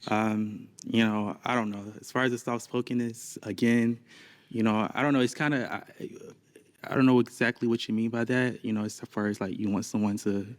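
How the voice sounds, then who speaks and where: A soft-spoken man on a dating show, talking in an unsure, halting way. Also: fairly low pitch, fairly even intonation. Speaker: contestant.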